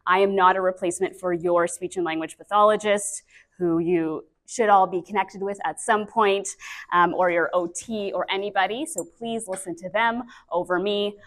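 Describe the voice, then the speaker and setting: A woman in her thirties, a speech-language pathologist giving a lively, chatty conference talk on a microphone, with many fillers. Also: on the low side. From Canada. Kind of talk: conference presentation. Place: conference breakout room. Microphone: handheld or stand mic with feedback.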